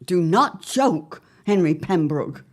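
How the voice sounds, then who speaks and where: A woman's voice: lowish voice, lively intonation, slow. A woman playing an anxious middle-aged wife of the 1910s in an audio drama, speaking in a formal, old-fashioned, earnest way. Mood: uneasy, earnest. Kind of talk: period audio drama acting.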